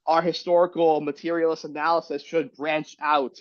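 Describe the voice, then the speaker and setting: A man with a raspy voice from being sick, stumbling and restarting as he reads aloud and discusses. His pitch sits a little high. The audio is dry and dull. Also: flat delivery, no echo. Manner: halting, many restarts. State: sick, coughing. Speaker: reading group member. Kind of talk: casual discussion and reading aloud.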